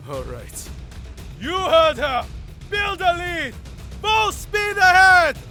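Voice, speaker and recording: A man playing a brash, excitable alien soldier in an audio drama, blustering and shouting. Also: extremely high pitch, slow pace, full character voice. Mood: rallying shouts. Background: music score under the voice.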